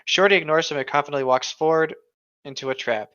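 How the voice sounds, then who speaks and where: A man's voice: a little high, fast talker, a bit bright. A man co-hosting a podcast, reading stories and chatting casually on a lo-fi microphone. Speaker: podcast co-host. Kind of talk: story reading and casual chat.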